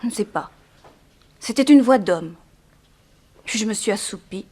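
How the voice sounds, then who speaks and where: A woman's voice: mid-range voice, animated, a little slow, a little mellow. An actress playing a sorrowful young woman in a 1960s French radio drama, restrained and plaintive. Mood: subdued, recounting. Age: young adult. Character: sorrowful young daughter of a harsh plantation owner.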